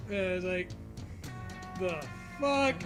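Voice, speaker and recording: A man's voice: high voice, expressive, slow, music in the background. A man co-hosting with casual comic commentary, jokey and mocking. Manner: jokey, mocking, repeats phrases, often laughing. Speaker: co-host.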